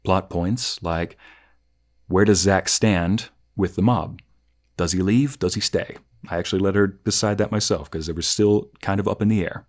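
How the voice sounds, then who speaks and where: A man talking through a conversational review as a host, reflective and halting, often rephrasing his thoughts as he goes. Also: sits low, steady tone. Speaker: host of story review channel, narrator.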